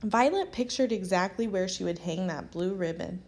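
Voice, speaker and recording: A woman reading a children's picture book aloud as an expressive storyteller. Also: lowish voice, normal speed. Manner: expressive, animated storytelling with acted character lines. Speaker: storybook reader.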